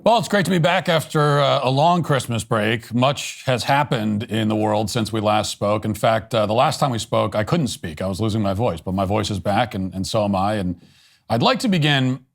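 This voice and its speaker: A man's voice: fairly low pitch, animated, moderate pace, vocal fry. A man hosting a political commentary show, delivering a scripted monologue in a sardonic, emphatic way. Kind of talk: read ad endorsement.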